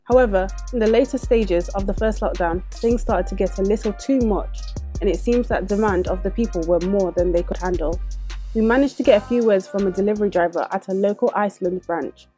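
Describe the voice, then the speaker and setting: A woman narrates a documentary from a script in a measured, even delivery. Her voice is mellow and warm. Faint music plays underneath. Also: mid-range voice.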